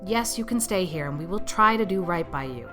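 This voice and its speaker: A woman voicing a stern, practical older spinster in an audiobook, speaking in a brisk, grim, disapproving and matter-of-fact way. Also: lowish voice, animated, music in the background, lightly acted. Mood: gruff, matter-of-fact. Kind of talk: audiobook character acting.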